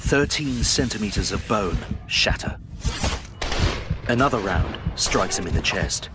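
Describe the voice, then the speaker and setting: A man reading dramatic documentary narration in a grave, measured, suspenseful way. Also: lowish voice, expressive. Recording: voice over loud music and gunfire. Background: loud dramatic music, battle sounds.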